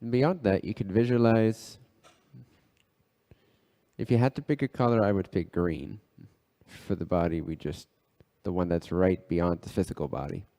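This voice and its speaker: A man leading a guided meditation as a teacher, speaking in short phrases broken by long pauses. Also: sits low, dark tone.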